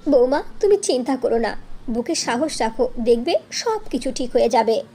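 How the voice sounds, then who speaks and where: A woman's voice: fairly high pitch, expressive, normal speed, warm tone, slight background noise. A woman voicing an older, steadfast mother-in-law who reassures and encourages, in a fairy-tale cartoon. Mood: reassuring.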